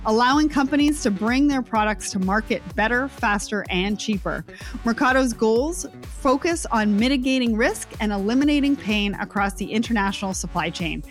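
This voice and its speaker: A woman hosting a podcast panel, reading sponsor messages and then moderating in a clear, upbeat way. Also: a little high. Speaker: podcast host.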